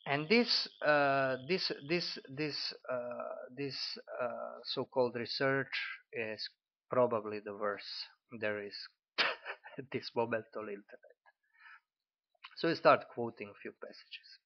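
A man in his forties from Croatia with a Croatian accent, critiquing an article in halting speech with many fillers and restarts. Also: mid-range voice. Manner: hesitant, frequent fillers and restarts. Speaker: commentator. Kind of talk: unscripted spoken critique. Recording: dull lo-fi audio.

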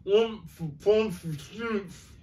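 A man voicing a shaken, fussy old gentleman with a faint, genteel voice in a storybook reading. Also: medium pitch, big pitch swings, unhurried, reverberant, distant mic, slight background noise. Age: older.

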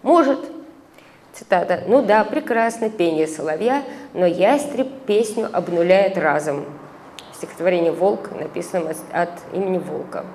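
A middle-aged woman reading an academic paper aloud at a conference, measured, with hesitant fillers and recited verse. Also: on the low side, a little mellow. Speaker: conference speaker, translator. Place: conference hall, indoors. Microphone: stand microphone at a lectern. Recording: reverberant hall, faint background noise.

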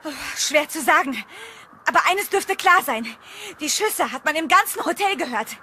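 A woman's voice: high-pitched, animated, moderate pace, sharp tone, slight background noise. A woman playing a frightened, urgent young woman fleeing danger in a German horror radio drama. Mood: anxious. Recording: music under the dialogue.

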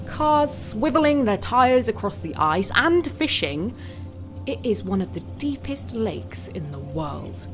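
An actress playing a plucky young bush pilot, delivering a confiding dramatic monologue in an audio play. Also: female voice, a little high. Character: plucky young female bush pilot narrating her story.

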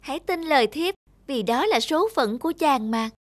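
A woman voicing a gentle, reassuring female frog princess in a storybook cartoon, tender and courtly. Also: sits high, animated, moderate pace, no room sound, voice pushed up. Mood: soothing.

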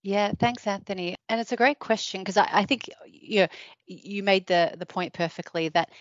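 A woman's voice: mid-range voice, moderate pace, no echo, muffled recording. A woman answering a podcast question in a friendly, thoughtful way. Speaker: urban adaptation specialist.

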